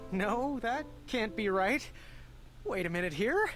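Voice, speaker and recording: Expressive, flustered young adventurer. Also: male voice, high-pitched, slow, over music. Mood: earnest storytelling. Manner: hesitant, fumbling for words. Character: earnest, flustered young male adventurer improvising stories. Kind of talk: animated adventure game acting.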